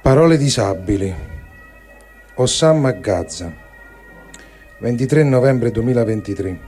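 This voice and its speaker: A man giving a grave, deliberate dramatic reading of a written diary, phrase by phrase. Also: fairly low pitch, mellow, breathy edge. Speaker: reader of written testimonies.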